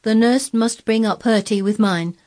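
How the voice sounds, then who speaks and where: A woman's voice: a little high, normal speed, darkish tone, pitched above the performer's own voice, close to an everyday voice. A woman voicing an imperious, wilful, sickly ten-year-old boy in a storybook, giving orders in a commanding way. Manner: commanding, peremptory. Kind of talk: storybook character voice.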